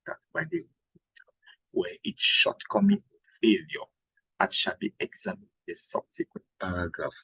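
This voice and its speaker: A man with a Cameroonian accent teaching an exam revision lesson in a didactic way, repeating phrases, with a husky, gravelly voice. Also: medium pitch, no echo, rough recording. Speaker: history teacher.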